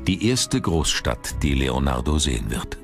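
A man's voice: extremely low pitch, very animated, measured pace, creaky. A man reading scripted documentary narration as the narrator. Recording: music under the voice. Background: background music.

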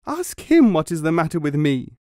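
A man reading a story, putting on the high voice of a scheming young wife, coaxing and accusing. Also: very animated, normal speed, a little mellow, no room sound, clean studio sound. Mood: wailing. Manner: coaxing, then wailing accusation.

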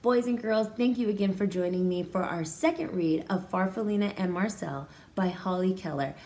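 A woman's voice: medium pitch, normal speed, darkish tone. An American woman, a pre-kindergarten teacher, reading a story aloud to young children and explaining it expressively in simple words. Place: indoors, small room with hard walls. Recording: roomy echo, faint background noise.

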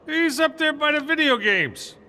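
Casting: barking toy soldier sergeant for a game, piercing high voice, echoing hall. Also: male voice, animated, normal speed, pushed and bright, full character voice. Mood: dutiful. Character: brisk little toy army sergeant, dutiful, barking. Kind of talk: animated video game dialogue.

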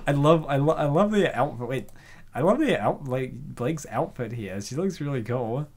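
Lively, rambling man with a swinging pitch. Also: normal speed. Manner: rambling, jokey. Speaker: host of comic dub channel. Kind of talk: casual chatty reaction commentary.

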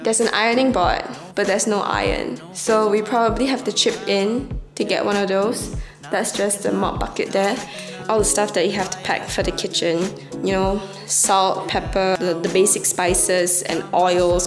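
Chatty young woman from Singapore. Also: mid-range voice. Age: young adult. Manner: chatty, explains as she walks around pointing things out. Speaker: vlogger, university student. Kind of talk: casual vlog tour. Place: student flat kitchen and bedroom, indoors. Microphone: handheld wide-angle camera at arm's length.

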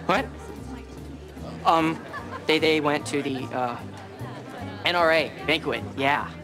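A man's voice: fairly high pitch, takes it slowly, music in the background, crowd noise. A young man playing an awkward, goofy teenage boy who stammers and fumbles when nervous. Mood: flustered. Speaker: teen sitcom character. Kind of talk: sitcom acting.

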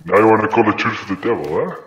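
A man's voice: on the low side, expressive, rapid-fire, highs cut off. A young South African man bantering during a video game with playful, taunting menace and chuckles. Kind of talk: casual gaming banter with friends. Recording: roomy gaming mic with faint noise.

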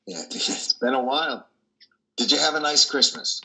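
An older man playing a compassionate priest hearing confession, gentle and measured, in a play reading on a video call. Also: mid-range voice, lively intonation, gravelly, heavily acted, rough recording. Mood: kindly, consoling. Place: dim room indoors. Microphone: video call, close to computer.

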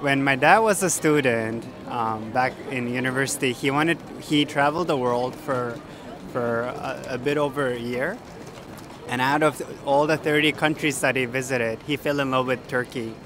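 A young man in a middle register tells a family story at an easy pace, with plenty of ums. His handheld mic picks up clear street noise on a busy night-time street. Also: mid-range voice, normal speed. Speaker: interviewee.

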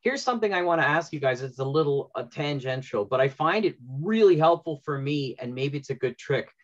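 A man's voice: a little high, expressive, a little fast. A middle-aged man chatting casually as he moderates a panel on a video call. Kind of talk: casual chat. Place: room at home, indoors, on a video call. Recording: dull lo-fi video call audio.

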